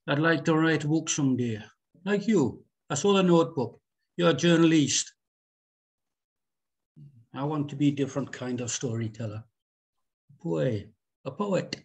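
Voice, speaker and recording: A man's voice: a little high, lively intonation, normal speed, pauses often, breathy edge. A man playing an earnest, brooding literature student and poet, in short statements at a script reading. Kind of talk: script table read.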